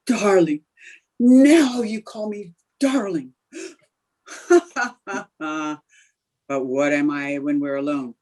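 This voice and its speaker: A woman's voice: deep voice, very slow, pauses often, dark tone, full character voice. A woman playing a scheming, melodramatic young housewife in an old-time radio comedy, with theatrical delivery. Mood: mock-tragic.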